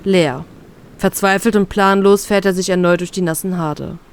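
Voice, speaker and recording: A woman's voice: lowish voice, normal speed, slight background noise. Woman reading fan fiction aloud. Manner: steady plain read-aloud narration.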